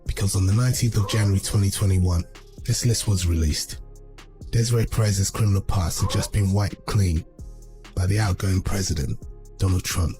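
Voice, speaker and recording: A man's voice: low-pitched, fairly even intonation, normal speed, dark tone, soft background music. A man giving scripted, explanatory video commentary. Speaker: narrator of a video essay.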